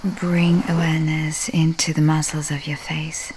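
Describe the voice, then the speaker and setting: Casting: female meditation guide, low and very even, soft half-whispered soothing delivery, long pauses between phrases. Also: a little hiss or hum, rough recording.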